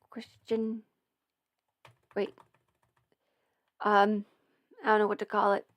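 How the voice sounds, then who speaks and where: A young woman hosts a relaxed livestream, answering questions in a chatty, wandering way full of fillers and self-corrections. Her voice sits at an ordinary middle pitch for a woman. It is recorded close and dry, with no room sound around it. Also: no room sound. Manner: chatty and meandering, many fillers and restarts. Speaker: audio performer and channel host. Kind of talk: casual livestream Q&A.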